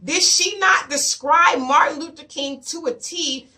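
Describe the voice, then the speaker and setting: A woman hosting her own commentary show, a little hoarse as her voice comes back, talking emphatically to viewers and asking rhetorical questions. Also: sits high, unhurried, sharp tone, far from the mic. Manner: emphatic, rhetorical questions, reads quotes aloud. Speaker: show host.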